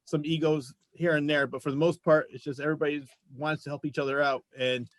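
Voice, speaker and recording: A man's voice: on the high side, little pitch movement, fast talker, no echo. A man hosting a talk show, chatting casually and jokily with his guests. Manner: jokey, chatty. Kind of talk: casual interview chat.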